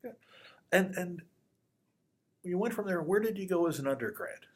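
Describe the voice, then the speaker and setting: A man's voice: sits high, expressive, normal speed. A man interviewing a student in an affable, encouraging way. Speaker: program interviewer. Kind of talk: friendly interview questions.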